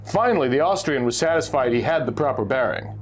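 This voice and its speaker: A man's voice: medium pitch, expressive, quick pace, faint music behind, poor audio. A man narrating a scripted adventure story in a theatrical, suspenseful storytelling manner. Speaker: narrator reading a pulp magazine story.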